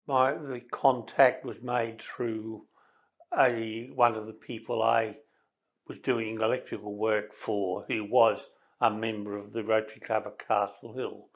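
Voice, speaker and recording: An older man reminisces in an interview in a deliberate, dry, matter-of-fact way. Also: lowish voice, little pitch movement. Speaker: service club member interviewed.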